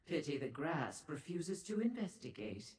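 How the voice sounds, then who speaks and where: A low, sultry demon seductress for a fantasy video game. She is theatrical and worldly, toying with the listener in ornate, patronizing phrases. Her pitch glides widely, and the voice rings with long, echoing reverb. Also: big pitch swings, normal speed, darkish tone. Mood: wry, informative. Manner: theatrical, coy, languid purring delivery with ornate formal phrasing. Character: seductive female dark seducer Daedra merchant, worldly and condescending. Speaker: information broker and proprietor. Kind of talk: video game character dialogue.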